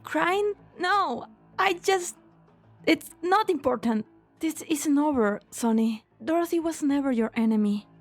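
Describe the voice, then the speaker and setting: A woman playing an earnest, anxious young lab researcher with a hesitant, expressive delivery in an English fan dub. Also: high voice, unhurried, faint music behind. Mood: shaken.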